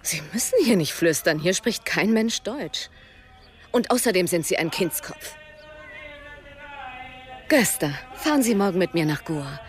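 A woman's voice: lowish voice, expressive, a little slow, long pauses, faint music behind. An actress playing a cool, direct and teasing woman in a radio play.

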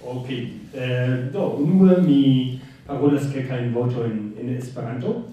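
A German-accented man speaks slowly and haltingly, with plenty of fillers, and pokes fun at his own pronunciation. His voice is dark and mellow. He is heard from far off in a large, echoing auditorium. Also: mid-range voice, dull audio. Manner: halting, many fillers, self-deprecating. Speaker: guest speaker. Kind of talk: conference talk. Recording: echoey hall from far off.

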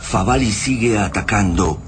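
A man narrating a science fiction radio drama in a solemn, measured, literary manner. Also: fairly low pitch, soft background music, close to an everyday voice. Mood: grave.

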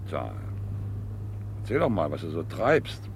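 A man's voice: sits low, sing-song, a little slow, slight background noise, full character voice. An actor playing a boastful, hot-tempered middle-aged businessman in a radio crime drama, domineering and self-righteous. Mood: patronizing, relaxed.

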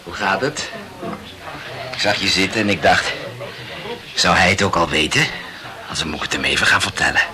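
An actor in a radio play playing a chatty, self-absorbed young amateur writer who rambles and corrects himself insistently. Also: male voice, low-pitched, normal speed, long pauses, bright, forceful tone. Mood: eager, gossipy. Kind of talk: literary radio play dialogue.

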